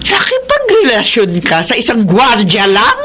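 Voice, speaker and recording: A woman's voice: fairly high pitch, wildly swooping pitch, a little slow, a bit bright, slight background noise, full character voice. A woman acting in a Filipino radio drama as a stern, disapproving older mother who scolds curtly. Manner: scolding, suspicious, curt.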